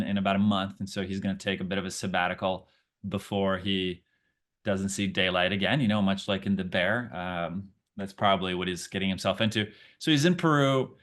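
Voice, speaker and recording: Chatty lower-voiced man, native Portuguese speaker. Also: moderate pace, fairly bright tone. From Brazil. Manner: friendly, chatty, thinks aloud with fillers. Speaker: actor, interview guest. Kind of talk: casual interview answers.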